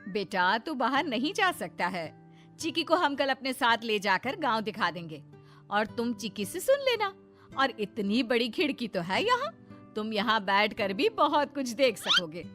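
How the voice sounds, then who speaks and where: A woman's voice: a little high, very animated, measured pace, fairly bright tone, soft background music. A woman playing a firm village aunt who explains things in an instructive way in a cartoon story. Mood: firm, explaining. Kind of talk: Hindi cartoon story acting.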